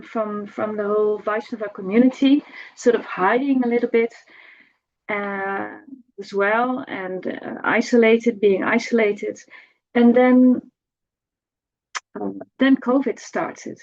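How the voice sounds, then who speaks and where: A middle-aged woman giving an informal spoken remembrance, halting as she searches for words and repeats herself. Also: a little high, darkish tone, muffled recording. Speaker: devotee giving a personal homage.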